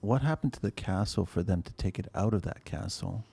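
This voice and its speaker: A man's voice: on the low side, a little fast, creaky, mellow, husky. A man hosting a casual interview, asking curious and joking questions. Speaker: podcast host.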